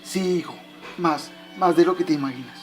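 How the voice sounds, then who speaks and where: A man's voice: fairly high pitch, normal speed, soft background music, lightly acted. A man playing a patient, instructive dead father in an amateur radio drama, explaining things to his son. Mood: patient, solemn.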